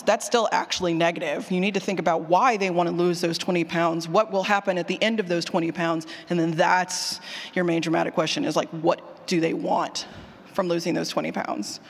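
A speaker giving an informal conference talk on writing, with animated asides and questions repeated back to the audience. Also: medium pitch. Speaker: presenter, fiction podcast writer. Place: conference session room. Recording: hall with long reverb.

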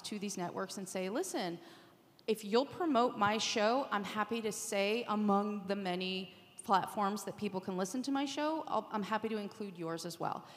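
A woman with a mid-range voice gives direct advice as a panelist. She is conversational, adding little tag questions, with the long reverb of a hall around her. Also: mid-range voice. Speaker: panelist, podcast network representative. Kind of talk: casual panel discussion.

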